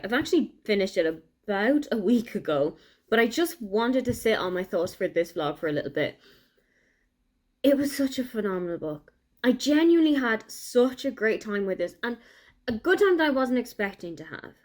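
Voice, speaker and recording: A young Irish woman with an Irish accent, chatting casually and enthusiastically in a book vlog diary. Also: mid-range voice. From Ireland. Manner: chatty, enthusiastic, thinking aloud. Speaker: book vlogger.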